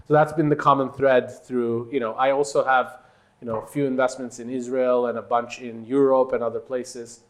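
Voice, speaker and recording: A man from Turkey with a mid-range voice speaks as an executive being interviewed. He is a native Turkish speaker who builds his answers in halting, conversational phrases, leaning on the points he wants to stress. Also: medium pitch, level tone. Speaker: company executive, interview guest.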